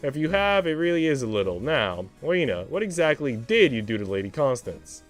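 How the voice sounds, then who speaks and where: Visual novel role: condescending senior maid, female, prim and cutting, very animated intonation, played by a man. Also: medium pitch, fairly quick, soft background music, lightly acted. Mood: stern, scornful. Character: haughty, cruel senior English maid, a woman.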